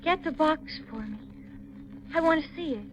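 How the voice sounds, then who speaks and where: A woman's voice: high voice, expressive, moderate pace. An actress playing an anxious young woman unsure of who she is, hesitant and nervous, in a 1950s radio mystery. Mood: wary. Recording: muffled, noisy 1950s radio recording.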